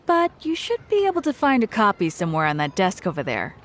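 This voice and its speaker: A woman's voice: medium pitch, wildly swooping pitch, fast talker. A woman voicing a brusque older bookseller in a video game who makes dry, blunt remarks. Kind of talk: game dialogue.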